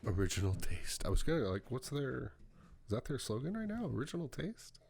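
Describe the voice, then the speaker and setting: A man's voice: medium pitch, big pitch swings, fairly quick, creaky, a little mellow, husky. A man co-hosting a podcast, chatting informally and bluntly, often circling back to rephrase himself. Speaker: podcast co-host. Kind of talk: casual chat about games and pop culture.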